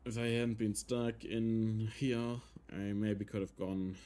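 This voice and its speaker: A man with a German accent, a German native speaker, casually talking through a game on stream, thinking aloud with hesitant, halting phrasing. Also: on the low side, fairly even intonation, warm tone, a little hiss or hum. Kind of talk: casual gameplay commentary.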